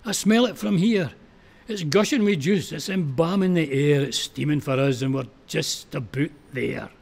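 A man's voice: fairly high pitch, moderate pace, vocal fry, a little mellow. A man reciting dramatic verse, voicing a plucky wounded Scottish soldier of the First World War, dogged and exclamatory. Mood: urgent, rousing.

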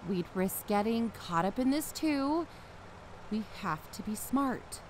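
A woman's voice: mid-range voice, animated, takes it slowly, a little hiss or hum. A woman playing a cautious, practical investigator's partner in an audio drama. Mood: resigned.

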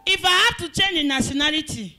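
A middle-aged woman from Cameroon preaching fervently in a church hall on a handheld mic, pausing for an interpreter and breaking into song. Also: high-pitched, expressive, pushed and bright, soft background music. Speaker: guest evangelist. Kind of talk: sermon with live interpretation.

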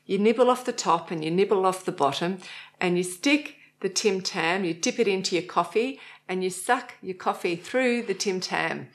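A woman's voice: mid-range voice, normal speed. A middle-aged woman hosting a knitting show from a living-room sofa, chatty and cheerful, laughing often. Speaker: host.

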